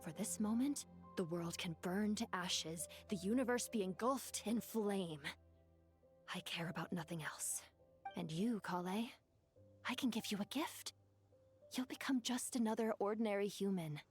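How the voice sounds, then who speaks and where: A woman's voice: mid-range voice, normal speed, natural voice. Actress doing ancient djinn woman from a game, grand n wistful, formal theatrical talk, music playing behind. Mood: fervent, resigned. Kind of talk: video game voice acting.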